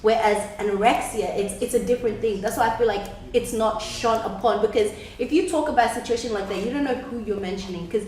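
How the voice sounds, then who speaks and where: A woman's voice: fairly high pitch, quick pace, few pauses, darkish tone, heavy reverb, a little hiss or hum, poor audio. A woman on a discussion panel, talking animatedly with lots of "like" fillers. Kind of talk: casual group discussion.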